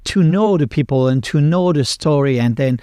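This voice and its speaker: A man's voice: on the high side, moderate pace, dark tone, smooth, clean studio sound. A man in his fifties from the south of France, hosting a podcast interview and often echoing his guest's words. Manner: eager, agreeing, repeats the guest's words. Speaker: podcast host. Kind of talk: casual interview chat.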